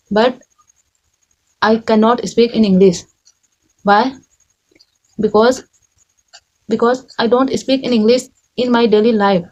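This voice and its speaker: A young woman from Chhattisgarh with an Indian accent, whose first language is Chhattisgarhi, talking in halting learner's English at home, often correcting herself and adding "right?". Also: on the high side, a little mellow, highs cut off. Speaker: English learner vlogger and tutor. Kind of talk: unscripted personal talk. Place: home in a village.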